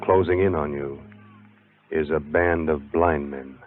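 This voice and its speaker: Menacing storyteller, very low, slow, suspenseful, muffled vintage radio with music. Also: male voice, fairly even intonation. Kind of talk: 1940s radio dramatic teaser narration.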